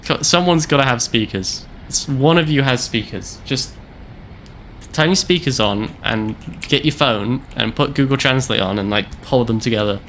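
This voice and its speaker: A man's voice: mid-range voice, normal speed, a bit bright, slight background noise. A young man streaming a game, joking and rambling with lots of fillers. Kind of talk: casual commentary while playing.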